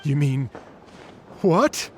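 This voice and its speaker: A man's voice: on the high side, big pitch swings, very slow, noisy background, full character voice. A man voicing an earnest, courteous musician and impresario in a video game, formal and rather hesitant in his phrasing. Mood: hesitant, apologetic. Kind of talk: scripted video game voice acting.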